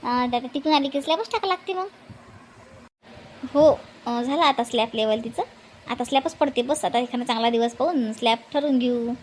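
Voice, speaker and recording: A woman plays a homely, chatty village housewife from a family cartoon comedy. Her voice sits very high for a woman. A faint noise runs behind the recording. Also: fairly quick, close to an everyday voice, rough recording. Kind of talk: animated family comedy dialogue.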